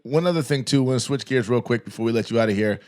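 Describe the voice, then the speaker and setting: A man's voice: mid-range voice, racing, darkish tone. A man hosting a podcast in casual chat, giving advice and walking through contract examples. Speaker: podcast host.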